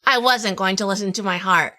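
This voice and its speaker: A woman's voice: mid-range voice, brisk, bright, forceful tone, lightly acted. A woman playing a wisecracking, bossy private eye in a 1940s noir audio drama, sardonic and secretly lovestruck. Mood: wry, confiding. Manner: sardonic, scolding. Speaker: lead actor and narrator.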